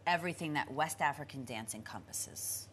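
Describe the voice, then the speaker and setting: A woman co-hosting a TV show, excitable and gushing in a studio. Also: rumbling low, moderate pace, breathy edge. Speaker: TV host. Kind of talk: morning TV interview.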